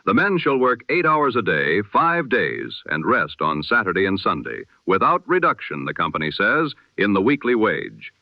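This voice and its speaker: A man reading the news in the measured, solemn cadence of an old-time radio announcer. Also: lowish voice, sing-song, no echo, highs cut off. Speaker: newsreader.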